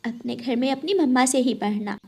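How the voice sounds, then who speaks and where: A woman's voice: high-pitched, moderate pace, vocal fry, a little mellow. A woman voicing a stern mother sparrow and teacher in a cartoon story, firm and scolding.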